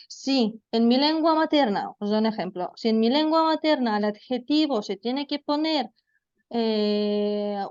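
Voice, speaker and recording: A woman's voice: high voice, darkish tone, highs cut off. A woman giving an online lecture to trainee teachers, explaining patiently with frequent fillers. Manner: explanatory, addresses students as vosotros, frequent fillers.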